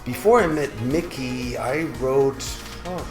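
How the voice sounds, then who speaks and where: Animated storytelling man in an echoey room. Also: mid-range voice, slow. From Switzerland. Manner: animated, imitates sounds and voices while telling stories. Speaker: singer-songwriter guest. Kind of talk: casual storytelling interview. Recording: echoey room with guitar music behind.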